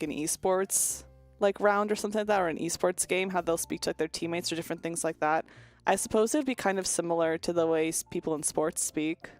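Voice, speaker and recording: A woman's voice: fairly low pitch, darkish tone. A young woman streaming, chatting casually and candidly with viewers, rambling with lots of "like" and "um" and some swearing. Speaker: VTuber streamer. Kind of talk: casual chat answering viewer questions.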